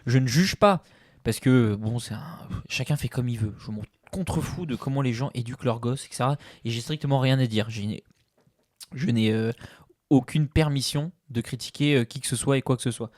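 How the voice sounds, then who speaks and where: A man's voice: medium pitch, moderate pace, darkish tone, dry recording, studio quality. A man co-hosting a casual podcast, blunt and provocative in his opinions. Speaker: podcast co-host. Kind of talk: casual chat over a meal.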